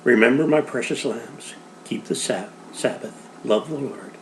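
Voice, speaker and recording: A gentle, devout mother speaking in a soft, hushed tone, as a man reads her lines. The voice stays low in volume and close to his own. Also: measured pace, a little hiss or hum, close to an everyday voice, rough recording. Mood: tender.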